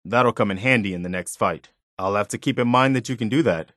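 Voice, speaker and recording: Casting: male actor for a loyal, principled militia man, game companion, lowish voice, caring and plain-spoken, dry close sound. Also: brisk, fairly bright tone, dry recording, natural voice. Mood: casual, attentive. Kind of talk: acted video game companion dialogue.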